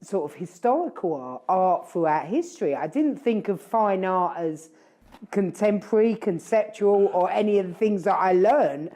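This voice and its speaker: A woman's voice: mid-range voice, animated, normal speed, mellow. A woman hosting a casual chat, talking in a rambling, reflective way and often correcting herself. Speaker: podcast host.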